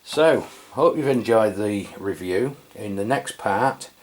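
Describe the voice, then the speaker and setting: A man's voice: fairly low pitch, lively intonation, slow pace, a little mellow. A middle-aged man giving a casual, chatty walkthrough of a radio, with frequent fillers and self-corrections. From England. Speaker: radio equipment reviewer. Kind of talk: casual product review walkthrough.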